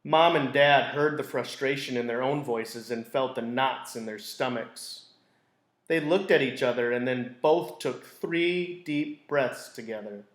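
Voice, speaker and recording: Guy reading a kids book out loud, expressive storyteller, kinda echoey room. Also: mid-range voice, a little slow. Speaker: school read-aloud storyteller. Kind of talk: reading a children's picture book aloud. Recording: roomy, echoey indoor sound.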